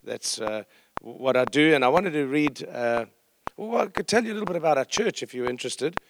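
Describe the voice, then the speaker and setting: A man's voice: on the high side, expressive, a little fast, a little hiss or hum. A middle-aged man with a South African accent speaking informally to a congregation as a visiting pastor, conversational, humorous and self-deprecating. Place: church service, speaking to congregation.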